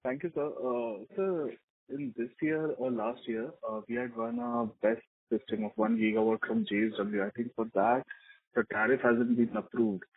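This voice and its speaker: A man's voice: mid-range voice, steady tone, normal speed. A man, a fund analyst, politely asking executives questions on an earnings call and calling them sir. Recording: tinny phone line with clear noise.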